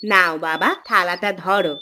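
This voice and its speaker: A woman voicing a gentle, weary middle-aged housewife and mother-in-law in a cartoon, soft-hearted and placating. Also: fairly low pitch, steady tone, unhurried, a little hiss or hum. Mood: motherly. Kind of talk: Bengali cartoon dialogue. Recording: music under the voice. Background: music.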